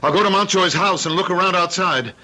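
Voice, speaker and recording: An actor playing an anxious, hard-up husband in old-time radio drama style, urgent and exasperated yet tender. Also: male voice, high voice, a little fast, pushed and bright, full character voice, poor audio. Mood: urgent, agitated.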